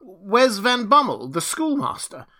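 Man reading as a plaintive, high-pitched old villager. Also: very animated, a little slow, pushed and bright, exaggerated voice. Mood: anxious, despairing. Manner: plaintive, earnest. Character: bewildered, humble old villager, dismayed and pleading. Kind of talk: storybook character reading.

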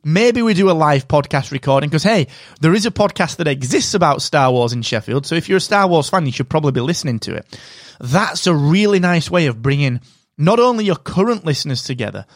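A man's voice: fairly high pitch, no room sound, studio quality. Upbeat man, a bit nasal. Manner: energetic, direct, straight-talking advice. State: slightly nasal from a cold. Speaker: podcast host. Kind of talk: solo podcast monologue.

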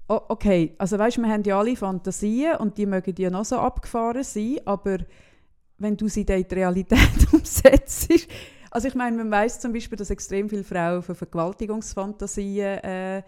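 Swiss German woman, young adult, casual podcast chat, animated and blunt, clean even tone. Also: medium pitch, moderate pace, clean tone. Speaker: podcast co-host.

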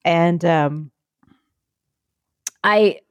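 A woman chatting casually, telling a story and acting out quotes in a higher voice. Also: very slow, clear, resonant tone, dry recording. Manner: animated, acts out quotes in higher voice.

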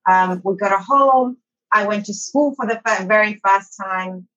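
A woman's voice: medium pitch, a little slow, fairly bright tone, muffled recording. A woman from Somalia who moved to London, chatting informally as an interview guest and telling her own story. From born in Somalia, moved as a child to Saudi Arabia, then London. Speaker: interview guest, online English teacher. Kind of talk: casual personal storytelling in an interview.

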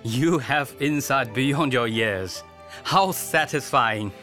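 An actor playing a gracious, regal Chinese emperor in a radio drama, speaking in a measured way. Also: male voice, mid-range voice, expressive, sharp tone. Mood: regal, thoughtful. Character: stately, gracious Chinese emperor with grand ambitions. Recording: music behind the voice.

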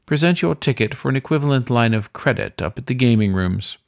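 A man voicing a suave weasel ghost who runs a hotel, speaking in a smooth, courteous, formal manner for a storybook reading. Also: medium pitch, lively intonation, fairly quick, pitched above the performer's own voice. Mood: smooth, coaxing.

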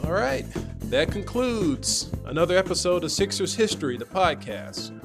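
A man's voice: sits high, very animated, normal speed. American male podcast host over guitar music. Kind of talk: scripted-sounding podcast hosting over music.

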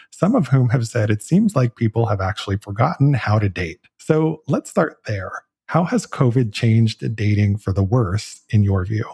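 A man hosting a podcast, interviewing a guest in a measured, earnest way. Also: lowish voice, dark tone, husky. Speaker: podcast host. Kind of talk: podcast interview and read ads.

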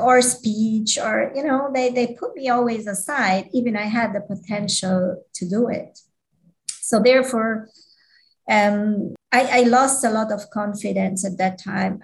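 Woman from Switzerland, halting speech. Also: medium pitch, gravelly, rough recording. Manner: hesitant, with frequent restarts and non-native grammar. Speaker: interview guest. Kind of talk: casual interview talk.

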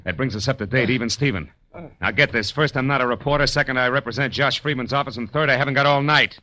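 An actor playing a brash, sardonic American private detective in 1940s radio style, with clipped, wisecracking tough talk. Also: male voice, mid-range voice, quick pace, pushed and bright, a little hiss or hum, close to an everyday voice. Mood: brash, pushy. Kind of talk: 1940s radio acting, first-person narration and dialogue.

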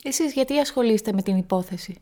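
A woman's voice: a little high, mellow, close to an everyday voice. A young woman acting as a frank student neighbour in a radio drama, speaking matter-of-factly. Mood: curious, cautious.

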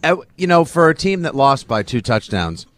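A man's voice: mid-range voice, big pitch swings, moderate pace, fairly bright tone. A man co-hosting a sports podcast, chatting casually about football in a colloquial, emphatic way. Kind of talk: casual football analysis chat.

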